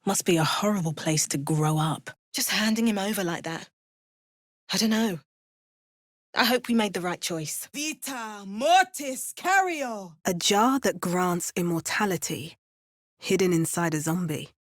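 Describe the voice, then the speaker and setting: A wry, adventurous heroine of a fantasy video game. She speaks in a measured, understated way at a middling female pitch. The sound is close and dry, with no room around it. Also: animated, no echo. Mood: fierce incanting. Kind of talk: scripted fantasy game dialogue.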